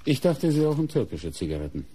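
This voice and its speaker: An actor playing a coolly suspicious police inspector in a German radio crime play, questioning in a measured, deliberate way with pointed pauses. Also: male voice, medium pitch, very animated, dark tone, breathy edge. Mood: suspicious, insistent.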